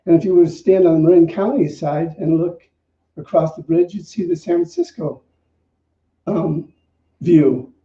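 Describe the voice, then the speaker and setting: A man hosting as a minister, giving an informal spiritual talk in a conversational, halting way. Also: a little high, dark tone. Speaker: minister and service host. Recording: distant, echoey, dull room recording.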